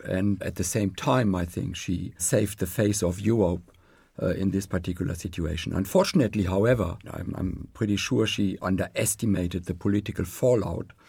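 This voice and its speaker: A man who is a professor of economics, speaking as a panel guest in a measured way with frequent filler pauses. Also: sits low, gravelly, dark tone, husky, no room sound.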